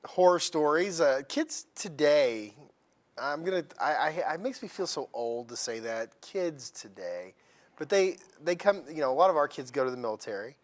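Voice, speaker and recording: A man's voice: on the high side, lively intonation, normal speed. A man interviewing a guest in a chatty, friendly way, asking questions and adding asides. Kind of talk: oral history interview.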